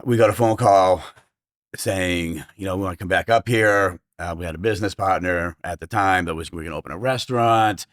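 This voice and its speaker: A middle-aged man with a Boston accent and a low voice talks fast, filling his sentences with "you know" and "uh" as an interview guest. The recording is dry and close. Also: a bit bright, no room sound. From Massachusetts, south of Boston. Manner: fast-talking, lots of fillers. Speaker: restaurant owner, guest. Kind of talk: casual interview chat.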